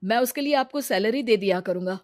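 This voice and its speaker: Lady doing a kind single dad in a cartoon story, earnest, gentle, measured. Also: a little high, lively intonation, lightly acted. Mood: grateful, earnest. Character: kind, grateful single father, gentle and responsible. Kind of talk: Hindi cartoon moral story dialogue.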